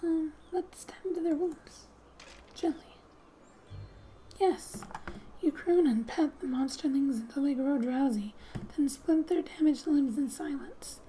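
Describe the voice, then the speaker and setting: A woman speaking softly and breathily, often near a whisper, as she gently reads game text aloud for an ASMR gaming video. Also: high-pitched, slow, long pauses, a little hiss or hum.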